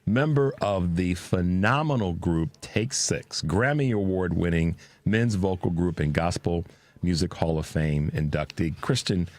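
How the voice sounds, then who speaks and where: Excited stammering male radio host. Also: deep voice, a little slow, no echo. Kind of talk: casual interview chat. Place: radio studio.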